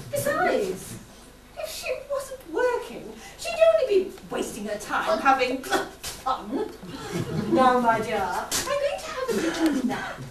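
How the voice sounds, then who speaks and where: A woman's voice: extremely high pitch, full character voice. A woman playing a bossy, scornful, rich wicked stepmother in theatrical amateur pantomime style. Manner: imperious, theatrical, drawn-out. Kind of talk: broad amateur pantomime stage acting. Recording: echoey hall from far off, audience noise.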